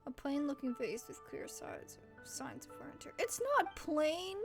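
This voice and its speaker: A woman streamer giving casual, expressive let's play commentary as she reacts to a game. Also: high voice, measured pace, creaky. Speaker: gaming streamer. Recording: loud music behind the voice.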